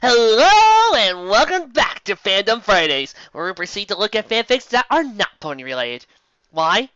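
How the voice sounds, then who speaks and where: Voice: medium pitch, sharp tone, no room sound, muffled recording. A host reading fan fiction comedically, with theatrical, exclamatory delivery and sarcastic asides. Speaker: host of a fanfic reading show. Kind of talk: comedic dramatic reading with mocking commentary.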